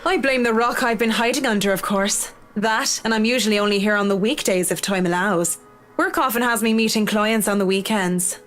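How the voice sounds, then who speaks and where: A woman's voice: medium pitch, brisk, fairly bright tone, natural voice. A woman playing a confident, witty interior designer who is proud and passionate, in a visual novel. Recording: music behind game dialogue.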